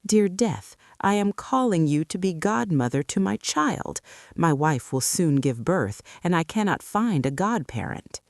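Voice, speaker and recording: A narrator voicing a despairing poor peasant man who laments and pleads in drawn-out cries, in a storybook reading. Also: mid-range voice, sing-song, creaky, dry recording, clean studio sound. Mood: anxious pleading.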